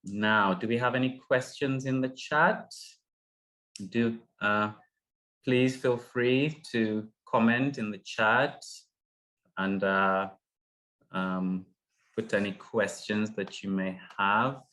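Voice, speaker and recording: A middle-aged man from Uganda moderating an online panel, speaking haltingly with many fillers and restarts over a video call. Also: lowish voice, fairly even intonation, a bit bright. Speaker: session moderator.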